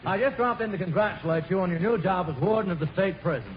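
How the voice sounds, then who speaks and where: A man's voice: high voice, fast talker, natural voice. An actor playing an eager, oblivious upper-crust fop in a 1930s radio comedy, effusive and stammering keenly as he repeats pet phrases. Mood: gushing. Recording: muffled, hissy old radio broadcast.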